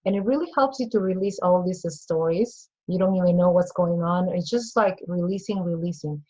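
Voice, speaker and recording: A woman's voice: on the low side, normal speed, dark tone, highs cut off. A woman from Peru with a Peruvian Spanish accent, speaking earnestly as a guest coach in a casual interview and acting out little inner voices. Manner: earnest, repeats phrases, acts out inner voices.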